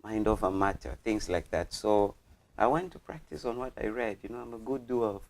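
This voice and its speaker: A man's voice: fairly low pitch, moderate pace, darkish tone. A young man from Congo, a student guest telling anecdotes casually in a playful, joking way. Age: young adult. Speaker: student guest on a talk show. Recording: clearly audible background noise.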